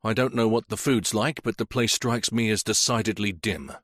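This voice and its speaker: A man's voice: lowish voice, normal speed, a bit bright, no room sound, studio quality. A man reading an audiobook who voices a blunt, understated police inspector. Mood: curt.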